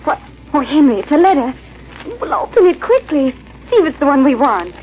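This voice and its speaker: An actress playing a playful, curious female companion in an old-time radio thriller, with lively banter. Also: high-pitched, normal speed. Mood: eager. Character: Elaine, playful, teasing, curious lady companion. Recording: muffled old radio, faint hiss.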